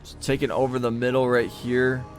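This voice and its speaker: A man's voice: medium pitch, level tone, moderate pace, soft background music. Easygoing man casting a strategy game live. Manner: easygoing, thinking aloud with fillers. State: losing his voice. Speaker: game caster. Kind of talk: live esports commentary.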